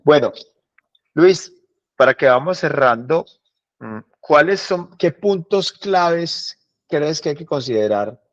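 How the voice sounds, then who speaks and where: A man hosting a podcast, asking questions in a halting way and rephrasing them as he goes. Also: a little high, lively intonation. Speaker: podcast host. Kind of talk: interview questions. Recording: dull lo-fi sound.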